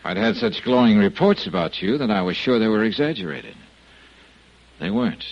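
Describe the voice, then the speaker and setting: An actor playing a tough, wry American investigator with clipped, slangy, sardonic delivery in 1950s radio detective style. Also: male voice, fairly low pitch, animated, normal speed. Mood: cool, probing. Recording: muffled old radio broadcast.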